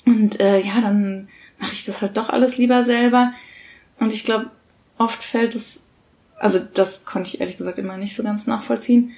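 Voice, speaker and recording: A woman in her thirties talks in a casual, colloquial way, as in a relaxed podcast conversation. Her voice sits at an average pitch for a woman, and she chats like a co-host among friends. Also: moderate pace. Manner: colloquial, chatty. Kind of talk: casual conversation about parenting.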